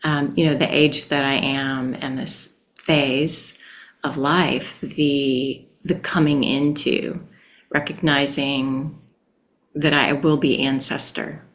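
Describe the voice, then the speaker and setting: A middle-aged woman hosting a reflective conversation, speaking hesitantly with fillers and soft laughs. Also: a bass voice, flat delivery, slow.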